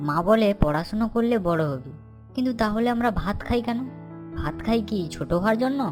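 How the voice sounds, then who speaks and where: A woman's voice: on the high side, animated, fairly quick, music in the background, natural voice. A woman voicing a whiny lazy schoolboy. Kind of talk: cartoon voice acting.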